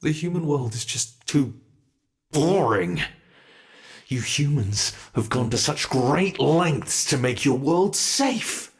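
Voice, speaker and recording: Casting: teasing monster hunter played by a man, medium-pitched, gravelly and slow, close ASMR roleplay delivery, menacing yet playful. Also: expressive. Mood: eager, predatory. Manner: slow, menacing, playfully sinister, savoring pauses. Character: teasing predatory male monster who hunts humans. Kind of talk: intimate ASMR roleplay monologue.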